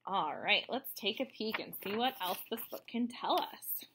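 A woman in her thirties reading a picture book aloud to children, explaining as she goes in an expressive way. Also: medium pitch, normal speed, slight background noise. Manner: expressive, explanatory, addressing young listeners. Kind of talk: read-aloud storytelling for children with asides. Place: room at home, indoors.

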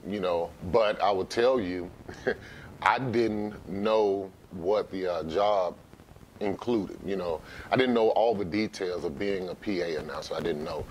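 A middle-aged American man giving casual interview answers as a guest, with halting, conversational phrasing. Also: low-quality recording. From Memphis, United States. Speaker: interview guest, sports arena announcer. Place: living room, seated on couch. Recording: faint low background noise.

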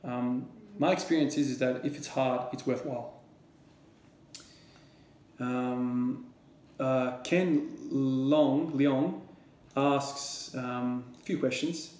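A man's voice: medium pitch, fairly even intonation, slow pace, pauses often, darkish tone, reverberant. A man in his thirties from Croatia, answering viewer questions to camera in a casual, rambling way with many fillers and repeated words. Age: 30s. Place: small room at home with shelves. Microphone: camera or laptop at desk distance.

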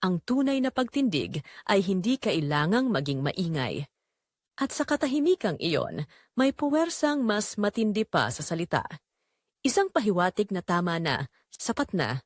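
A woman narrating a scripted dramatic story in a solemn, deliberate, emotive way. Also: lowish voice, no room sound, clean studio sound.

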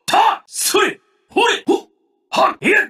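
A male actor playing a harried middle-aged sales manager in a video game, fussy and excitable, with stiffly polite office speech. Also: very high voice, takes it slowly, full character voice. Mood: fierce battle cries. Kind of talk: theatrical Japanese game voice acting.

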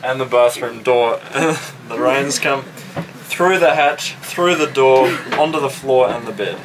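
A man's voice: medium pitch, slow, noisy background. A young man, the boat's skipper, joking casually and laughing at himself. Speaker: boat skipper. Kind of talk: casual travel vlog chat. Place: inside a sailboat cabin.

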